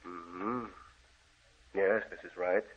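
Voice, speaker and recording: An actor playing a grave, courteous gentleman in an old-time radio drama, speaking in a formal, measured, solicitous manner. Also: sits low, steady tone, slight background noise. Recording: tinny, band-limited old radio recording.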